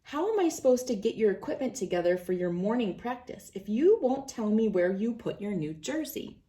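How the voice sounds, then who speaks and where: A woman voicing an exasperated mother in a storybook, gently scolding her young daughter. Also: mid-range voice, sing-song, moderate pace, close to an everyday voice. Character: exasperated mother of a nine-year-old girl.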